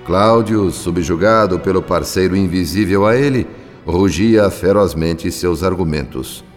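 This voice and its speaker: A man's voice: deep voice, unhurried, long reverb tail, soft background music. A man narrating a radio soap opera from a script in a solemn, theatrical, literary manner.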